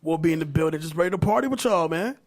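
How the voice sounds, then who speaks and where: High-voiced man hosting a podcast. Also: animated, rapid-fire. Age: about 30. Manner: slangy, swearing. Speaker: podcast host. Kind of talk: casual hype podcast banter and plugs.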